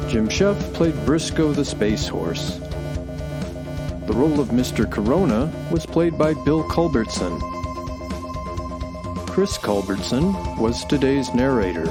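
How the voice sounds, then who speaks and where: A man's voice: medium pitch, expressive, slow pace, long pauses. A man, the show's announcer, reading the closing credits in a friendly, lightly self-deprecating way. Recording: music playing behind.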